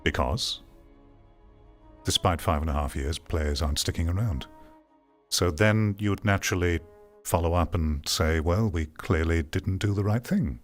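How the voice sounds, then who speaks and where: Male commentator, low-pitched, blunt and exasperated, casual delivery, music bed underneath. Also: unhurried. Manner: blunt, exasperated, repeats phrases for emphasis. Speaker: host giving commentary on game news.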